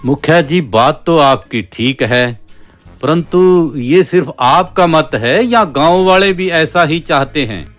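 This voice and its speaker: A man's voice: fairly high pitch, animated, takes it slowly, fairly bright tone. A man playing a stubborn, self-important holy man in a cartoon story, with an insistent, declamatory delivery. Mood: self-assured. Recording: background music.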